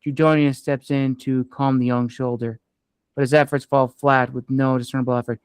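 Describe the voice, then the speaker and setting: A man, the author, reading his own story aloud in a measured, expressive way. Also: medium pitch, smooth, dry recording.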